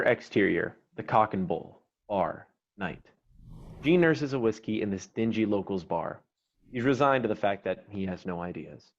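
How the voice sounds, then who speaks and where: Low-voiced male screenplay narrator, dull sound. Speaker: narrator reading stage directions. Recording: dull, noisy online recording with clicks.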